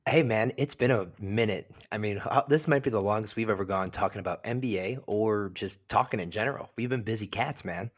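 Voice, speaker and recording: Low-voiced illinois guy on a sports pod, rambly, talks fast. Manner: rambling, quick, lots of restarts. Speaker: podcast co-host. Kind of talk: casual sports podcast chat.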